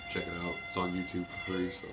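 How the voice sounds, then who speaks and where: A deep-voiced man talks through a stuffy nose from a cold, in a loose, rambling way full of ums and uhs. Loud music from a movie plays right behind him the whole time. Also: completely flat delivery, fast. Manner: offhand, rambling, many fillers. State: congested from a cold. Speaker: mask collector reviewing a mask. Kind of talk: casual unboxing chat. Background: loud music from a movie playing.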